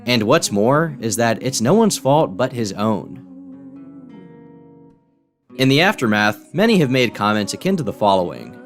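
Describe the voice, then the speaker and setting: A man's voice: medium pitch, big pitch swings, measured pace, pauses often, faint music behind. A man in scripted documentary narration, a YouTube commentator with a blunt, profane, sardonic way of talking.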